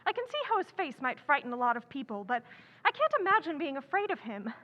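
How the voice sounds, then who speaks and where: An actress playing an outspoken, impetuous young writer, chattering and blunt, in an old-time radio drama. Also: female voice, high-pitched, very animated, fast talker, muffled recording. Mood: candid.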